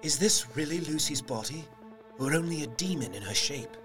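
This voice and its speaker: A man playing a grief-stricken young gentleman in a gothic audio drama, anguished and earnest. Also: a little high, expressive, normal speed, music in the background, natural voice. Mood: shaken, bewildered.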